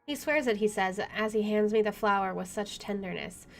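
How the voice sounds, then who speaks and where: A woman's voice: medium pitch, moderate pace, faint music behind. A woman game streamer doing a visual novel playthrough, moving between reading narration and casual commentary.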